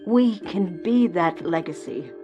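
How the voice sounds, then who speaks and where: A woman's voice: on the low side, lively intonation, takes it slowly, dark tone, lo-fi sound, faint music behind. An actress playing an earnest, determined wealthy woman in a radio drama, speaking persuasively.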